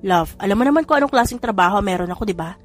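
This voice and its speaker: A woman reader voicing a male police general who explains calmly and teases affectionately, with little change from her own voice. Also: mid-range voice, rapid-fire, faint music behind, lightly acted. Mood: explaining.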